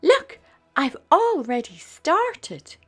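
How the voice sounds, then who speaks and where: A woman's voice: high-pitched, wildly swooping pitch, drawn-out words, voice pushed up, heavily acted. A woman voicing a giggly, bossy young female animal in a children's storybook. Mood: coaxing. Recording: music behind the voice.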